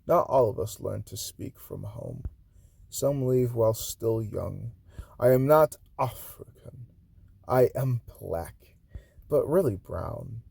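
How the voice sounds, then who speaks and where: A man from Haiti reading his own spoken-word poems aloud, swinging between loud declarations and hushed, breathy asides. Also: medium pitch, animated, slow pace.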